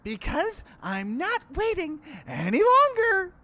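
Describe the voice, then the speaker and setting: A man's voice: extremely high pitch, wildly swooping pitch, slow, bright, forceful tone, pitched above the performer's own voice, exaggerated voice. A man voicing a stern, impatient woman in a horror visual novel, speaking in a cold, controlling manner.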